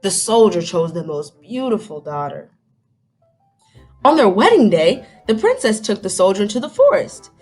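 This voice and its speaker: A woman's voice: medium pitch, sing-song, normal speed, darkish tone, faint music behind. A woman reading aloud to children like a teacher, dramatic and inquisitive, stopping to put questions to young listeners.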